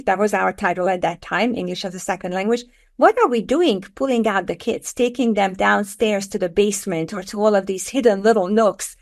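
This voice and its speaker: A middle-aged woman from Hungary with a Hungarian accent, a guest explaining her work in a podcast interview with earnest, measured phrasing. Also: mid-range voice. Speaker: guest, education author.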